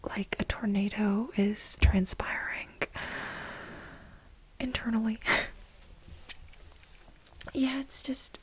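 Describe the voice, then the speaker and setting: A woman playing a flustered, lovestruck office assistant in a soft-spoken ASMR role play, breathy and halting, with a dramatic, confiding manner. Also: a little high, rough recording. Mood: burnt out, confiding. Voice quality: breathy, whispery. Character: flustered, lovestruck female office assistant confessing to married boss.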